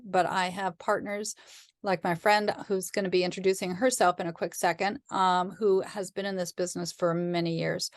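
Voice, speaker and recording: Host, woman; lowish voice, filler-heavy casual chat, dry room. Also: no echo. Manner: friendly, chatty, filler-laden.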